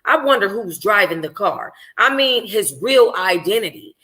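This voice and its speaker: Lady reading a story out loud, bright punchy voice, pretty informal and expressive. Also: medium pitch, moderate pace. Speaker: audiobook reader, book club host. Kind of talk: first-person novel narration read aloud.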